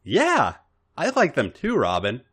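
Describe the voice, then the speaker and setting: A man's voice: on the high side, wildly swooping pitch, a little slow, a bit bright, slightly rough, voice pushed up, heavily acted. A man voicing a fond, worldly woman who mentors a younger friend, coaxing with pet names in a story reading. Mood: flustered, indulgent.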